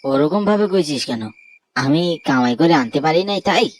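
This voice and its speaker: A man's voice: on the high side, animated, moderate pace. A man playing a meek, needy, bedridden village husband in a horror cartoon, pleading feebly in rustic speech with night insects chirping. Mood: hurt, pleading.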